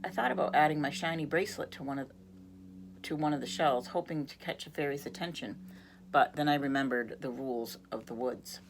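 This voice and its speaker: A woman's voice: deep voice, fairly quick, a little hiss or hum, poor audio. A woman reading a picture book aloud expressively, now and then speaking to young listeners. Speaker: reader.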